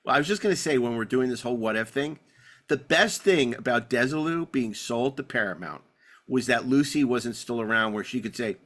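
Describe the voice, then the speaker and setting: Male host for TV-fan talk show: middle register, informal, halting, wandering storyteller. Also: medium pitch, lively intonation, a bit bright. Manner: halting, repeats words, rambling anecdotal delivery. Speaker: podcast host. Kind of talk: casual panel discussion of classic TV.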